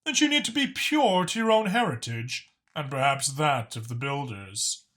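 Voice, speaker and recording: A man voicing a dignified male dragon in an audiobook, speaking in a measured, guarded and formal way. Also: medium pitch, very animated, a bit bright. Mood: earnest. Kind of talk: audiobook character acting.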